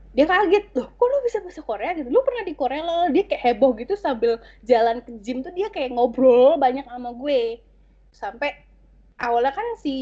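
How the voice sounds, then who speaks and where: A woman tells a story from her interpreting days in lively, slangy casual chat. Her voice sits a little high and carries a husky edge. The recording sounds dull and lo-fi. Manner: animated, slangy, many fillers and restarts.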